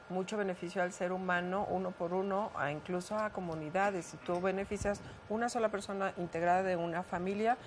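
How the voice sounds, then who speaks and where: A woman with a Mexican accent and a fairly low voice, earnestly explaining her work as a radio guest, with many hesitations and fillers. A faint background noise sits behind her speech. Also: a little mellow. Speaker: guest, Watsu practitioner. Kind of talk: radio interview answers.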